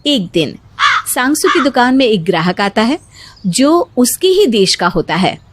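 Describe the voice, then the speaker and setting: Slow, high-voiced woman storyteller. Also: very animated. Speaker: story narrator. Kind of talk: scripted narration of a moral story.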